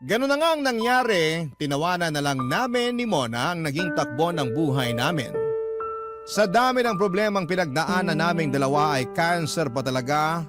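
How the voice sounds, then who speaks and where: A Filipino man hosts a radio drama, reading a listener's personal story as scripted narration. His voice is fairly high for a man and has a Filipino accent, with loud music playing behind it. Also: lively intonation, normal speed. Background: prominent music.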